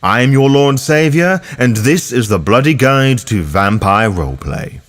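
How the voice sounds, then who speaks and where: A man's voice: mid-range voice, animated, normal speed, a bit bright. A man hosting a roleplaying guide, reading scripted explanatory narration in a theatrical, cheeky manner. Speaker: host of a tabletop roleplaying guide.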